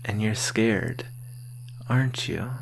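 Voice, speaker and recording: Centuries-old vampire ASMR actor in role: deep-set, breathy, whispery, predatory and teasing, very drawn-out delivery, faint hum behind. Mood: seductive menace. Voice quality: breathy, often dropping to whisper, creaky. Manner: slow, teasing, predatory drawl. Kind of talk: ASMR roleplay, slow close monologue.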